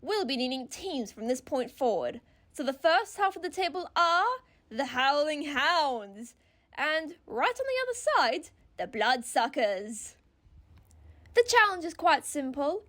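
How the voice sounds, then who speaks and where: Woman playing a high-pitched, peppy horror show host. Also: big pitch swings, unhurried, a bit bright, heavily acted. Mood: brisk, gleeful. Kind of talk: animated reality show announcing.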